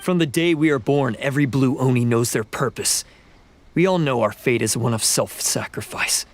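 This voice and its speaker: A man's voice: medium pitch, moderate pace, natural voice. A man voicing a bitter, defiant young oni outcast turned thief in a video game, scornful and intense.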